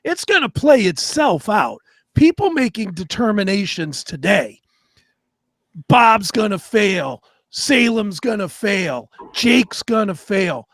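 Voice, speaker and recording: A man's voice: sits high, very animated, slow, fairly bright tone, dry recording. A man co-hosting a talk show in a casual, profane way, blunt and opinionated. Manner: blunt, opinionated, swears freely.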